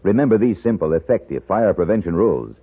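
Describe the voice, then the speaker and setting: A man's voice: deep voice, expressive, fast, slightly rough. A man announcing in 1950s radio style, emphatic, polished and instructive. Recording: narrow-band 1950s radio recording.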